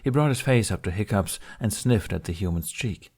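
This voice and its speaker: A man's voice: on the low side, lively intonation, moderate pace, darkish tone, slightly rough. A man narrating fiction as an audiobook reader, reading from a script.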